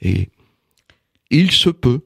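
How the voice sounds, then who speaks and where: A man's voice: mid-range voice, very animated, drawn-out words, creaky, fairly bright tone, slightly rough, no room sound. Hesitant French-speaking man, dry sound. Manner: hesitant, many fillers and restarts. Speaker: interviewee, writer and magnetizer. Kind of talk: casual interview.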